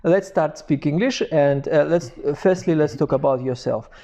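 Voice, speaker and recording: A man hosts a conversational interview. His voice sits a little high with a gravelly edge, and he stops and restarts often. Sentences come out in short broken pieces. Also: dark tone. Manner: halting, many fillers and restarts. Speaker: interview host.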